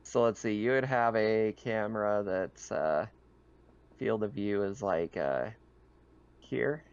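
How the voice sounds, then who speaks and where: A man casually narrating a tabletop roleplaying game as game master, describing scenes in a halting, improvised way. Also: on the low side, clear, resonant tone, muffled recording.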